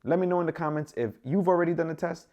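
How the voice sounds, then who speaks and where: A young man from the Dominican Republic talking to camera in a relaxed, chatty vlog, with plenty of fillers. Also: on the high side, fast talker, muffled recording. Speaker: travel vlogger. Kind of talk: casual vlog monologue.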